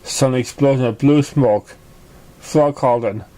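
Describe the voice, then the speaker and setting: A man's voice: mid-range voice, measured pace, dark tone, rough recording. A man reading fan fiction aloud as scripted narration, stumbling over the words here and there. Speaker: amateur story reader.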